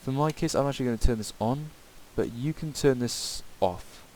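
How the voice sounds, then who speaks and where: A man giving a casual, improvised software tutorial in a hesitant, rambling way. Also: medium pitch, moderate pace, rough recording. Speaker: tutorial presenter. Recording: hissy home microphone recording.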